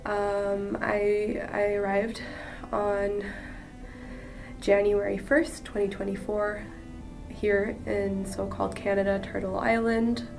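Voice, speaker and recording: A woman with a gravelly, level voice speaks slowly and earnestly, often stopping and restarting her sentences. She sits back from the microphone, so she sounds a little distant. Clear music plays behind her. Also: mid-range voice, completely flat delivery. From Jordan. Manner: earnest, halting, with frequent restarts. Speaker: podcast guest. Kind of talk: personal testimony.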